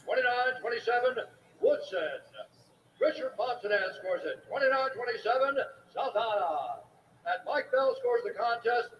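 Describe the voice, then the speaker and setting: A male fight announcer reading out judges' scores in a formal, measured broadcast delivery. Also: extremely high pitch, animated, slight background noise. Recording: dull, distant, echoey TV broadcast.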